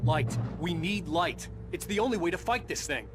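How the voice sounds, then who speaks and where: An actor playing a haunted, brooding writer who narrates his own dark thriller game story. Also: fairly high pitch, lively intonation, normal speed, background noise, close to an everyday voice. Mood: urgent. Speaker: protagonist and narrator. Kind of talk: brooding scripted narration and drama. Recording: loud music behind voice.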